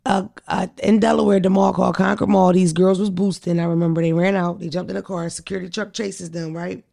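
A woman with a deep voice hosting a podcast, telling stories in a blunt, profane, animated way. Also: fairly quick, gravelly. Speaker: podcast host. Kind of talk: casual chat.

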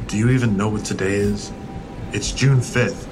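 A man's voice: on the low side, steady tone, moderate pace, over music, natural voice. An actor playing a wounded, hot-tempered ex-husband and grieving father in an audio drama, with an emotional, volatile delivery. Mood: wounded, pleading. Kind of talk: full-cast audio drama dialogue. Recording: clearly noisy outdoor ambience.

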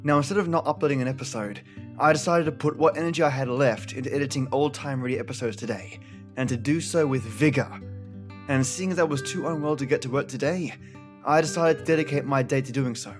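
A man hosting a podcast, reading a scripted introduction in a measured, earnest and formal way. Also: medium pitch. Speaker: podcast host. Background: plucked guitar music.

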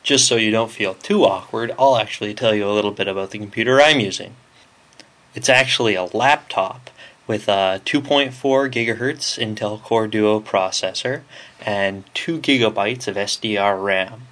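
A man's voice: lowish voice, normal speed, fairly bright tone. A man recording a casual listener question for a gaming podcast. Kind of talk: casual prepared listener message.

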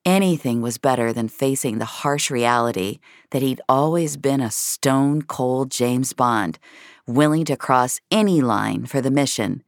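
Very low-voiced woman narrator, slow delivery. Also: no echo, clean studio sound. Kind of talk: dramatic scripted narration of audio fiction.

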